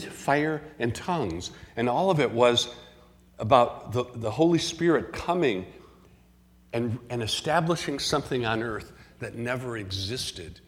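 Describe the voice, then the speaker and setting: A man preaching a sermon, earnest and measured, often restarting his phrases. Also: medium pitch. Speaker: pastor. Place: church sanctuary. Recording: long hall reverb in a church.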